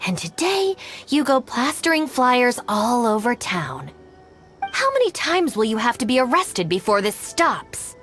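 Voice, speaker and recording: A woman's voice: fairly high pitch, sing-song, measured pace, a bit bright, faint music behind. An actress playing a stern, exasperated young woman in a video game, with a curt, scolding, no-nonsense manner.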